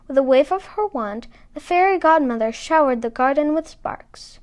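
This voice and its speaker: High-pitched woman reading a fairy tale. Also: expressive, normal speed. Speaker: storybook reader. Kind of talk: scripted fairy tale narration.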